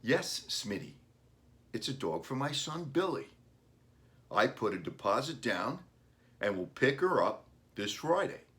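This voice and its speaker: A man reading a children's storybook, playing an earnest, gentle father talking about his young son's wishes. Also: medium pitch, big pitch swings, measured pace, voice pushed up. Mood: pleased.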